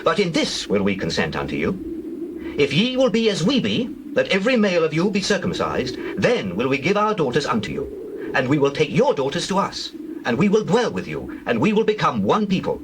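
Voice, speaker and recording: An eager, demanding young man of Bible times acted out by a man speaks formally and emphatically in a scripture drama. The voice sits fairly high with big swings in pitch, with clear background noise and birdsong. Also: wildly swooping pitch, brisk. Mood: firm.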